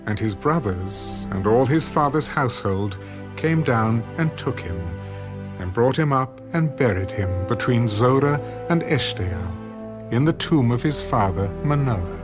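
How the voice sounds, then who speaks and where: A man's voice: mid-range voice, expressive, unhurried. A man narrating scripture as a scripted, dramatic audio production. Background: music.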